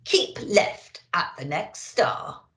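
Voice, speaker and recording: A woman's voice: lowish voice, big pitch swings, takes it slowly, a bit bright, full character voice. A woman voicing a bossy female sat-nav in a children's storybook, giving clipped instructions. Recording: roomy echo.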